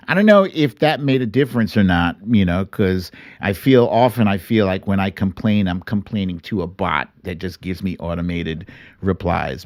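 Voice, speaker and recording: A man's voice: fairly low pitch, darkish tone, dry recording, clean studio sound. A middle-aged man hosting a livestream, chatting casually and earnestly to his followers as he tells stories. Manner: chatty, earnest, chuckles and quotes himself animatedly. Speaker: host of a livestream show. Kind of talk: casual livestream chat to followers, telling stories and reading comments.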